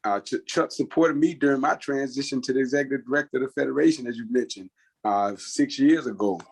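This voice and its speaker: A man calling in from outside a doctor's office, speaking an earnest tribute with halting restarts. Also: medium pitch. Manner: earnest, halting with repeated words. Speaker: guest, executive director of a cooperative federation. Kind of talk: spoken tribute over a call. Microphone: phone or call audio.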